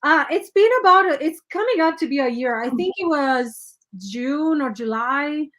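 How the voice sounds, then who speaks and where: A woman's voice: sits high, expressive, moderate pace, a bit bright. A woman hosting a live-streamed interview show, effusive and greeting viewers by name. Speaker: host.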